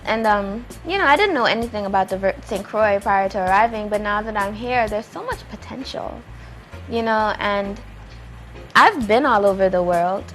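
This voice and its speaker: A woman with a mid-pitched voice speaks as a guest playwright. She talks in a casual interview style while faint music plays underneath. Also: normal speed, fairly bright tone. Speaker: playwright and director, talk show guest.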